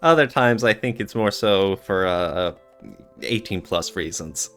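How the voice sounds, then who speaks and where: A man's voice: deep voice, a little slow, a bit bright, soft background music. A man co-hosting a podcast in casual discussion, often searching for his words. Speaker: podcast co-host.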